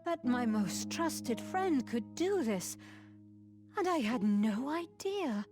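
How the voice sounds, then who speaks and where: An actress playing a grave, dutiful abbess in a video game, speaking formally and earnestly. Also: female voice, sits high, expressive, slow. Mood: sorrowful, resigned. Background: music.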